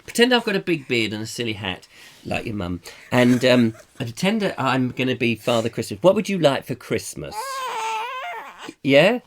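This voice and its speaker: Croaky playful male chat show host. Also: medium pitch, very animated, moderate pace. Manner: playful, silly, reads viewer comments aloud. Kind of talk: comic live chat show.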